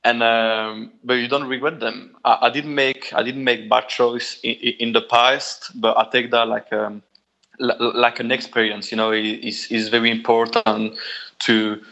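Belgian guy, french accent, bright voice, lots of restarts, kinda muffled call audio. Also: medium pitch, flat delivery, gravelly. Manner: halting, many restarts, laughs often. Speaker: guitarist, guest. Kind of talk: casual interview chat.

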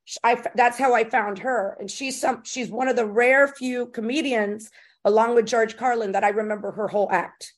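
A woman's voice: a little high, fairly even intonation, normal speed. A woman hosting a casual podcast interview, chatting informally with slangy phrasing. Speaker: podcast host interviewing a comedian.

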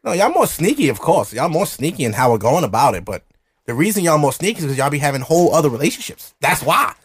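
A man's voice: a little high, sing-song, fast talker, slightly rough. A man hosting a podcast in a studio. He chats loosely and profanely while drinking, brash and provocative, and laughs often. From Brooklyn, New York. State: drinking alcohol while recording. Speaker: podcast host. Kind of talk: loose, profane casual chat.

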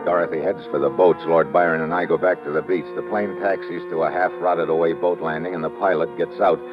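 An actor with an American accent playing a cocky, unflappable tough agent in old-time radio drama, speaking in a clipped, wry, commanding way. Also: male voice, low-pitched, fairly even intonation, fairly quick, music in the background, close to an everyday voice. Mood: tense narrating. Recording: noisy, muffled old radio recording.

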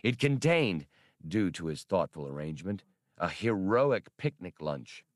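A man's voice: low voice, big pitch swings, a little slow, no room sound, studio quality. A man reading adventure fiction as a scripted, dramatic audiobook narration. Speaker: audiobook reader.

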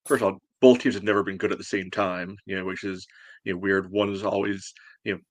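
A man co-hosting a casual sports podcast, talking in halting phrases peppered with filler. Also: low voice, little pitch movement, no room sound. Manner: halting, peppered with "you know". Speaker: podcast co-host.

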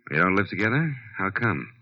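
An actor playing a wry, hard-boiled private detective who narrates and wisecracks in a clipped 1940s radio style. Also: male voice, low voice, big pitch swings, fairly quick, dry recording, exaggerated voice. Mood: steady questioning. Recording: dull vintage radio recording with loud music.

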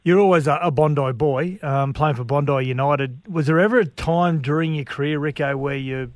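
Brief: Australian male co-host, chatty and teasing, slightly high voice, dry close mic. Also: moderate pace, darkish tone, no room sound. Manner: chatty, teasing, many fillers. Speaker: sports radio co-host. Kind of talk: sports radio talk. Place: home studio.

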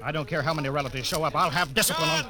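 A man's voice: fairly high pitch, huge pitch swings, very fast, creaky, a crowd in the background, heavily acted. A man playing a commanding, bewildered spaceship captain in old-time radio drama style, giving urgent, clipped orders. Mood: angry. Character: stern, bewildered male spaceship captain, authoritative and logical. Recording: noisy vintage radio recording.

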